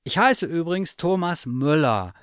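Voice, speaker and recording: A man's voice: animated, a little slow, smooth, dry recording, studio quality. A man voicing a naive, polite lost teddy bear with an eager, chirpy manner. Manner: eager, chirpy and high-pitched.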